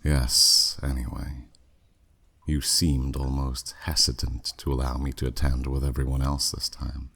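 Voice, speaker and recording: An exceptionally deep-voiced demon played by a man speaks slowly, with a husky rasp. He is a haughty, flirty buyer in an ASMR audio drama, drawling with sardonic, dismissive skepticism. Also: level tone, sharp tone, heavily acted. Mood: wry, suspicious. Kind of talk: scripted ASMR audio roleplay.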